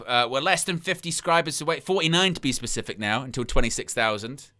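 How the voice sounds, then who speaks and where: A man's voice: a little high, pushed and bright, clear, resonant tone. A man hosting a live wrestling watchalong, rambling through incredulous asides and reading chat comments aloud between plugs for likes. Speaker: wrestling livestream host.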